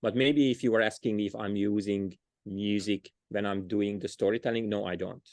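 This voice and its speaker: A man's voice: on the low side, steady tone, moderate pace, a little mellow, no echo. A man with a Hungarian accent, a storyteller being interviewed, talking casually and often restarting as he searches for words. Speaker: storyteller, guest.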